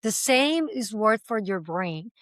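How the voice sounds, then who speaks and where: A passionate woman guest talks freely in an interview, moving from point to point with everyday examples. Her voice sits at a middling pitch. The recording is dry and close, with no room sound. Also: lively intonation, slow pace, a bit bright, no room sound. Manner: speaks several languages. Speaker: language school founder, interview guest. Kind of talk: conversational interview answers.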